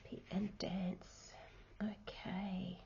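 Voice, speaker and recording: A woman giving a tarot reading, nasal and croaky from hay fever, speaking softly and sometimes slipping into a whisper. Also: mid-range voice, level tone, highs cut off. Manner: soft, unhurried, drops into whispers while laying cards. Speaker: tarot reader.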